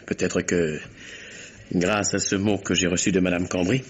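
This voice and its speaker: A man playing an earnest, lovestruck young nephew in a 1950s-style French radio drama, polite, excitable and anxious. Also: sits low, normal speed, slightly rough, lo-fi sound. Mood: eager. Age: young adult. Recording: faintly hissy old radio recording.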